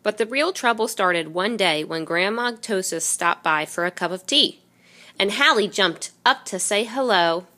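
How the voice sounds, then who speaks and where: A woman reading a children's picture book aloud in an expressive storytelling way. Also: mid-range voice, measured pace. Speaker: reader.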